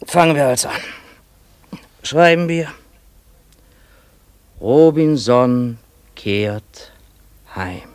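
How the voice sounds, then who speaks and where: A man's voice: mid-range voice, lively intonation, drawn-out words, pauses often, smooth, no room sound. An actor playing a musing, imperious man in a German radio play, dictating stories to his assistant in a theatrical, bossy, ruminative way. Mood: musing, commanding.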